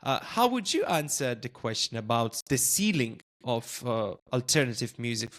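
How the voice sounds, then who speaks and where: A man whose first language is Lithuanian hosts a casual podcast interview at a middle pitch. He talks thoughtfully and pauses often with filler sounds while he forms his questions. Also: very animated, fairly bright tone. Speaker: podcast host.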